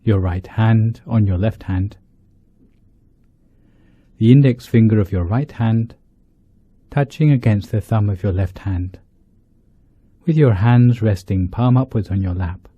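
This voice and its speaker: A man, a monk leading a guided meditation, speaking in deliberate short phrases with pauses between them. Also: lowish voice, slightly rough. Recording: dull lo-fi, roomy echo.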